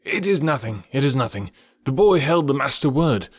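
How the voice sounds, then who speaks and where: A man voicing an excitable, eager kite bird messenger in a storybook reading, calling out urgently. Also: medium pitch, moderate pace, breathy edge, no echo, studio quality, pitched above the performer's own voice. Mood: eager, proud. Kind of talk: audiobook character voice.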